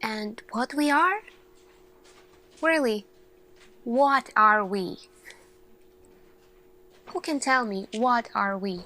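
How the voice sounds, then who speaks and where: A woman performing an ASMR sci-fi roleplay as an earnest, thoughtful lead scientist, speaking deliberately with pauses. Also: on the high side, sharp tone. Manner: slow, deliberate, pausing, questioning delivery. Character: earnest, thoughtful female lead scientist addressing her team.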